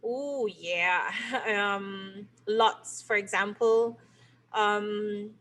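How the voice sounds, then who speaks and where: A woman's voice: medium pitch, steady tone, drawn-out words, a bit bright, slight background noise. A middle-aged woman from Trinidad and Tobago, a teacher and game creator, explaining her work in an interview. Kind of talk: interview answers.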